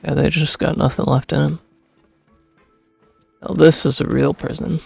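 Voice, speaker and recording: Young man, husky, casual game playthrough narration, close dry mic, soft music behind. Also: fairly high pitch, level tone, moderate pace, dry recording. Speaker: gaming streamer. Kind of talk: game playthrough, reading text aloud and commenting casually.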